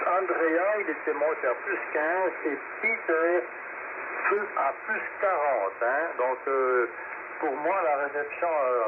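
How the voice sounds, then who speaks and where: A man, an amateur radio operator, chatting casually over the air and peppering his talk with tag words. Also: a little high. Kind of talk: casual radio contact chat. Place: radio transmission with static behind. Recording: very noisy narrowband shortwave radio link.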